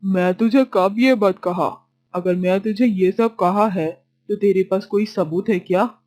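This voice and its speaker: A woman voicing a crafty, miserly village uncle in a children's cartoon, gruff and dismissive. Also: medium pitch, measured pace, darkish tone, dry recording, natural voice. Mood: scornful, dismissive. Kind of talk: children's cartoon story voice acting.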